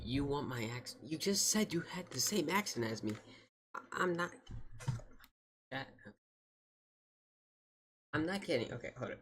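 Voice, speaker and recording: A male livestream host with a rough-edged, husky voice at a middling pitch. He talks off the cuff to his viewers, trading banter and playfully standing his ground. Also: fairly bright tone. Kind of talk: casual chat with livestream viewers.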